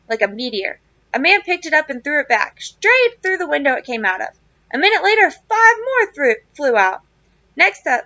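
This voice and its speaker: A woman reading aloud to children like a teacher, with expressive phrasing and clear emphasis. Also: sits high, no echo, dull audio. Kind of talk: read-aloud of a children's novel with casual asides.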